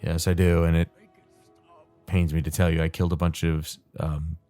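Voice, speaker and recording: A man casually rambling while playing a game, his throat dry and tired, with a calm, soothing way of talking. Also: sits low, normal speed, soft background music. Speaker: gaming channel host. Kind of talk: casual rambling commentary over gameplay.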